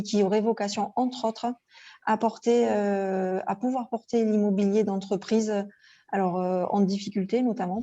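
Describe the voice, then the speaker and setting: Mellow woman on dull audio. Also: mid-range voice. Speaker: local government panel speaker. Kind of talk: informal conference answer.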